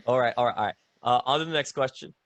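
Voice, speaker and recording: A man's voice: medium pitch, rapid-fire, fairly bright tone, dry recording. A man interviewing a guest in a casual, conversational way over a video call.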